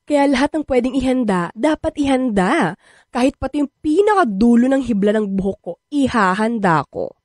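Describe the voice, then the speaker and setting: A woman's voice: a little high, expressive, moderate pace, darkish tone, no echo, clean studio sound. An actress with a Filipino accent playing a bold, flirtatious, eager woman in a radio drama, brash and coaxing. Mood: proud excited. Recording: music bed under voice.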